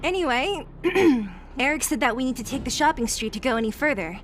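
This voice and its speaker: A woman's voice: high-pitched, lively intonation, a little fast, slight background noise. A woman voicing a blunt, tough young female fighter with a dry manner, in game dialogue.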